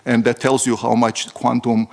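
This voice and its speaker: A man speaking on a conference panel about his foundation, halting, with many uhs and repeated words. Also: mid-range voice, little pitch movement, creaky, a little mellow. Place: large conference hall.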